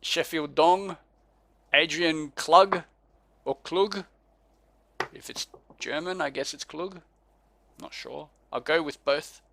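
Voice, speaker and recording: Slow, laid-back Australian man. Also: on the high side, gravelly, pushed and bright. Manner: laid-back, chuckling, stumbles over names. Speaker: podcast co-host. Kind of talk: casual chat.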